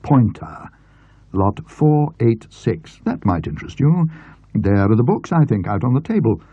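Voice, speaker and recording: A man voicing a chatty, self-absorbed English gentleman in a story, breezy and rambling. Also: fairly low pitch, big pitch swings, a little slow, husky, voice pushed up, full character voice. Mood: brisk, sociable. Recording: muffled, roomy old audio recording.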